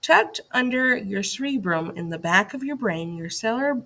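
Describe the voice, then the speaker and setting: A woman's voice: fairly high pitch, lively intonation, moderate pace, gravelly, pushed and bright. A woman reading a scripted educational lesson aloud to children as a teacher, putting questions and directions to young listeners.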